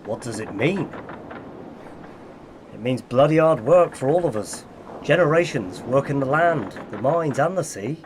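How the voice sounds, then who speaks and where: An actor plays a hearty Cornish fishing skipper in a radio drama. His mid-range voice is proud and plain-spoken, set against clearly audible boat ambience. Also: medium pitch, expressive, measured pace, pauses often. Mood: proud, indignant. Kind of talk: radio play acting. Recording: noisy boat ambience behind voice.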